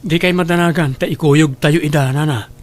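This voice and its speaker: A male actor plays an earnest grown son in an audio drama, speaking in a fairly high male voice with an Ilocano Filipino accent. He sounds emotionally invested, as one who pleads with family. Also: fairly even intonation, moderate pace, lightly acted. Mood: earnest, reassuring. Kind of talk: Ilocano radio drama acting.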